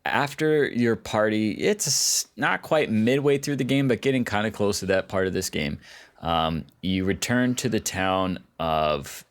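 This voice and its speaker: A man co-hosting a podcast, chatting casually about video games and haltingly searching for his words. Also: on the low side, expressive. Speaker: podcast co-host.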